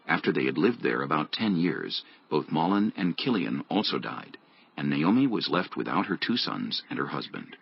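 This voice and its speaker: A man's voice: extremely low pitch, very animated, moderate pace, gravelly, breathy edge. A man narrating scripture from a script as a storyteller between the dialogue. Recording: dull lo-fi audio with music bed.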